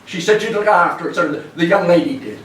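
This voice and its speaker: An actor playing a cheery, deferential homeless wanderer of about seventy in a stage monologue, with a rural Hampshire accent and a halting, rambling way of talking. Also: male voice, sits high, fairly bright tone. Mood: humble, eager. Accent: rural southern English, Hampshire. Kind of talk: theatrical dramatic monologue. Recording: echoey room, distant mic, faint noise.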